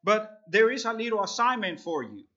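A man preaches a revival sermon, earnest and halting, often pausing on fillers. His voice sits a little high and sounds bright and forceful. The recording is dull and lo-fi. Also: sing-song. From El Salvador. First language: Spanish. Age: 30s. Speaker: visiting preacher.

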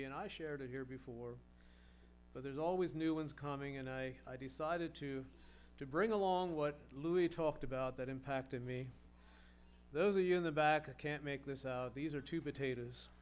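A middle-aged male preacher delivers a sermon in an earnest tone, posing rhetorical questions and repeating words as he builds his points. His voice sits a little higher than most men's, with a faint hum underneath.

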